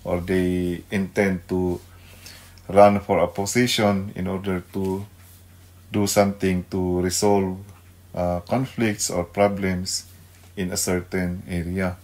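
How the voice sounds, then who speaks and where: A middle-aged man with a Filipino accent giving an unscripted devotional radio talk, pausing to search for words and mixing two languages. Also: deep voice, flat delivery, darkish tone. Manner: halting, searching for words, mixes Tagalog and English. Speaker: radio devotional host, pastor.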